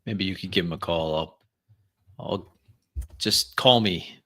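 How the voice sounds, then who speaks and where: A man's voice: low voice, a little slow, bright, forceful tone, no room sound. A man playing a tabletop roleplaying game, speaking in character and narrating his own actions.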